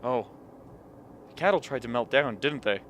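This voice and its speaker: A man playing a sharp-voiced, suspicious polar expedition man. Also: medium pitch, animated, moderate pace, natural voice. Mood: tense, suspicious. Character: grim, suspicious man at an isolated polar research camp. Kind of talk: radio play acting. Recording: faint background noise.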